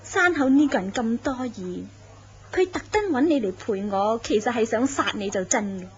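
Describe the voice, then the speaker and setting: A sly, knowing mistress, voiced by a woman in an old-style horror radio play, teasing her companion with soft laughs. Her voice sits fairly high. The recording is dull and lo-fi. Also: expressive, normal speed, lightly acted. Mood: ominous. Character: scheming young mistress of a jealous tycoon. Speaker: actress in a horror radio drama. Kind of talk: radio drama acting.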